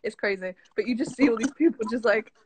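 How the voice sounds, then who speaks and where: A woman's voice: on the high side, fast talker, darkish tone, slight background noise. A young woman with an English accent chatting casually and informally as she shares fun facts, with a giggly manner. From southwest England. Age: young adult. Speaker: guest, former camp staffer.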